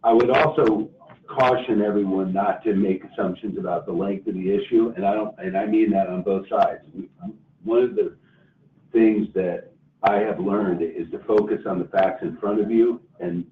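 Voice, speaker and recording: Male corporate executive, low register, measured and careful, far from the mic on a faintly noisy conference line. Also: fairly even intonation. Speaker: company executive answering investor questions. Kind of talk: earnings call answers. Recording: roomy, distant phone-like line with faint noise.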